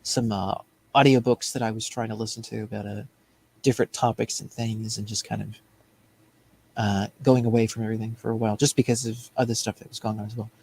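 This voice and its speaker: A man's voice: lowish voice, level tone, quick pace, gravelly, darkish tone, slight background noise, rough recording. A man co-hosting a show with earnest, emphatic political commentary, often repeating phrases for emphasis. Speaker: show co-host. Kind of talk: political commentary in casual chat.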